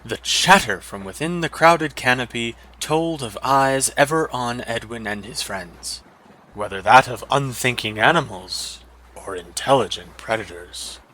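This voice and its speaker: A man's voice: medium pitch, animated, measured pace, sharp tone, a little hiss or hum, poor audio. A man reading a scripted fantasy adventure story as a dramatic narrator.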